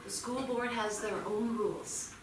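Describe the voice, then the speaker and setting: A distant, noisy theatre recording of an actress as a worried mother confronting her teenage son. Her voice sits fairly high and is firm and reproachful in a naturalistic stage style. The room echoes heavily around her. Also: steady tone, unhurried, close to an everyday voice. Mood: grave, firm. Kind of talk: staged play reading, naturalistic stage acting. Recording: echoey theatre, distant mic, clear noise.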